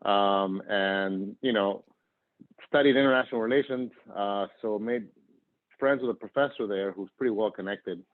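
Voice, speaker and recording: Male interview guest from Argentina, fairly deep, conversational and wandering, heard through a narrow telephone-like connection. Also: moderate pace. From northern Argentina, moved to the US at ten. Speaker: interview guest, nonprofit executive director. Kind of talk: casual conversational self-introduction. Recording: phone-like, narrow radio-style call audio.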